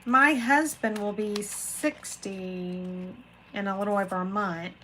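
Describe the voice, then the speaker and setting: A woman's voice: mid-range voice, fairly even intonation, slow pace, sharp tone, slight background noise, poor audio. A woman, hosting a casual live chat and rambling to viewers by name. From Florida, USA. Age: 40s. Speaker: craft channel host reading live chat.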